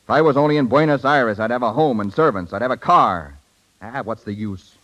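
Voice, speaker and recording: An actor playing a proud, fed-up young American husband in a 1930s radio drama. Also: male voice, mid-range voice, animated, brisk, fairly bright tone, muffled recording, close to an everyday voice. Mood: bitter.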